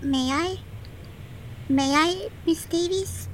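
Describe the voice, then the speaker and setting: A woman playing a high-voiced, polite young girl. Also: slow, a little hiss or hum. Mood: hopeful, wistful. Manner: polite, childlike.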